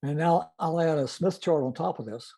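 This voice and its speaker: An older man giving an informal technical presentation and demonstration, explaining methodically in a halting way. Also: a little high, warm tone. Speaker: club presenter, retired-style veteran telephony engineer.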